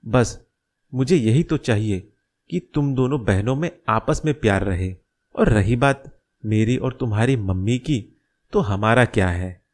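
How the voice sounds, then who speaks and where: A caring middle-aged dad played by a man in a cartoon moral tale. He has a medium-pitched voice and explains things gently, with steady reassurance. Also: measured pace, natural voice. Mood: reassuring. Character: caring, reassuring middle-aged father advising his young daughter. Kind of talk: Hindi moral-story cartoon dialogue.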